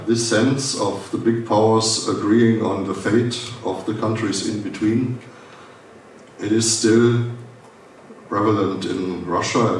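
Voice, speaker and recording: A German-accented man delivers a conference welcome in a low voice, hesitating and restarting with frequent fillers. He stands away from the mic in a big echoing hall, with a faint hiss underneath. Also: far from the mic. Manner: halting, many "uh" fillers and restarts. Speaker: foundation director giving a welcome speech. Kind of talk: formal welcome speech at a conference.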